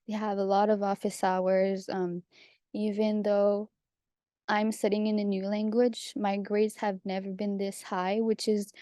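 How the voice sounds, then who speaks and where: A young woman from Quebec whose first language is French, speaking English with a Quebec French accent in a chatty, relaxed way as a student panelist. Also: mid-range voice, unhurried, smooth, dry recording, studio quality. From Quebec, Canada. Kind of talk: casual panel talk.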